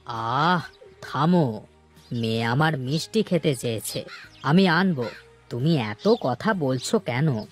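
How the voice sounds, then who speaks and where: A man's voice: mid-range voice, animated, slow, long pauses, soft background music. A man playing a meek, good-natured poor villager and devoted father in a cartoon, with a humble way of talking. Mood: affectionate. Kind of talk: cartoon character acting.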